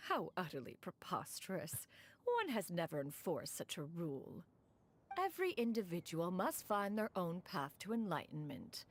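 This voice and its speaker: A woman's voice: on the low side, huge pitch swings, unhurried, full character voice. An actress voicing a dignified ancient female adeptus who speaks in formal, old-fashioned phrasing. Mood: dismissive. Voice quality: formal, archaic speech. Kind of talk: game voice acting. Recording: game audio over loud music.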